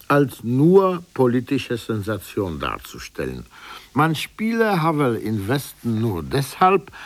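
A man's voice: fairly low pitch, dry recording. A man reading a prepared literary commentary aloud in an emphatic, rhetorical way. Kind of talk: prepared essay read aloud.

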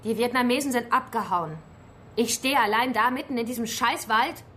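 An actress in a radio drama playing a defiant, bitter female activist, sarcastic and quick to swear. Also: fairly high pitch, pushed and bright, slight background noise. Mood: defensive, upset.

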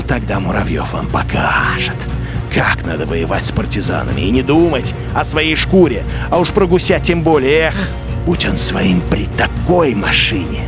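A man narrating a radio drama in a bitter, measured inner-monologue voice. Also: mid-range voice.

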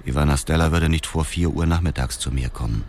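An actor playing a cold, vengeful widower who narrates his brutal deeds in a detached, measured crime radio drama. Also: a bass voice, a little mellow, a little hiss or hum. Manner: detached, measured, matter-of-fact. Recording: music under the voice.

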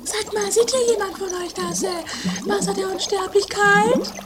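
A woman's voice: very high voice, normal speed, over loud music. A woman playing a bossy, quarrelsome, theatrical lady in an improvised comedy horror radio play. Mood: puzzled, nosy. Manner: theatrical, commanding. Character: Mathilde Newton, bossy, quarrelsome, overdramatic castle-dwelling lady. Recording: noisy amateur 1980s radio-play recording.

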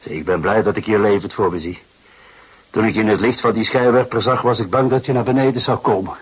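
A middle-aged police detective with a very husky, lowish voice. He is patient, wry and fatherly, musing and hesitating as he questions. It is a muffled radio drama recording. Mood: patient, grave. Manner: deliberate, hesitant musing with repeated assents. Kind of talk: Dutch radio play acting.

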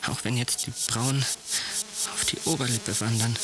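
An actor playing a fearful, frozen man in a radio drama, voicing a tense, halting inner monologue in a husky half-whisper. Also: medium pitch, fairly even intonation, over music, lightly acted, rough recording. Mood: fearful unease. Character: fearful, frozen man watching someone obsessively.

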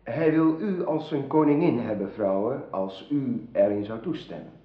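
An actor playing a devoted, courteous knight in a radio play, speaking formally and earnestly. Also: male voice, mid-range voice, animated, normal speed, natural voice. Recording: roomy, dull old radio drama recording.

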